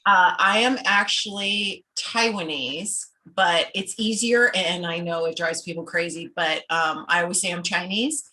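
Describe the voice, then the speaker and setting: A woman's voice: fairly low pitch, measured pace, few pauses, pushed and bright, slight background noise. A woman born in Los Angeles, a guest on a podcast over a video call, talking candidly and explaining things patiently. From United States, born in Los Angeles. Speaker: interview guest, business founder. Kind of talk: casual interview chat.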